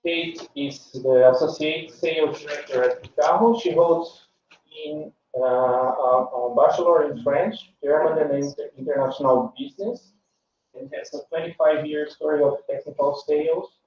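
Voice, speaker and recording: A man giving halting opening remarks as moderator at an academic workshop, introducing speakers. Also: fairly high pitch, steady tone, darkish tone, a little hiss or hum. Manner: halting, slow introductions with many fillers. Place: small amphitheater on a university campus. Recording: distant, roomy, dull livestream audio.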